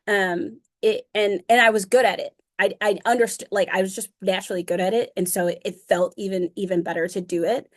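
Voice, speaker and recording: A woman's voice: mid-range voice, fairly even intonation, a little fast, few pauses. A young woman being interviewed about her music, talking casually with many fillers and frequent laughs. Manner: chatty, fillers of um and like, laughs often. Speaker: interview guest, singer.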